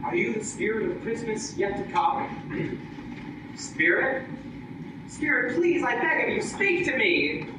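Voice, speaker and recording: A man plays a theatrical old miser who begs and wisecracks through a comic radio play. The delivery is unhurried, caught from a distance in a very echoey space with clear noise behind it. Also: very high-pitched, big pitch swings, full character voice. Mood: desperate pleading. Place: live stage performance, picked up from afar.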